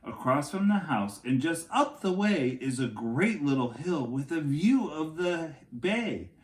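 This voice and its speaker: A man's voice: a little high, sing-song, measured pace, darkish tone, roomy sound. A man voicing a cheerful, eager male adventurer from a children's picture book, exclaiming his dialogue.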